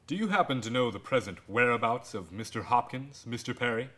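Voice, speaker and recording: An actor in a radio drama playing a courteous police inspector who speaks in a formal, measured way. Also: male voice, mid-range voice, expressive, a bit bright, lightly acted. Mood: polite probing.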